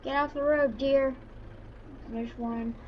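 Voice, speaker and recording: Higher pitched woman doing laid-out slow gameplay commentary, kinda halting, muffled cheap mic w engine noise behind. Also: steady tone. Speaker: gaming YouTuber. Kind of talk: casual gameplay roleplay commentary. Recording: dull lo-fi mic, faint background noise. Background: vehicle engine sounds from the game.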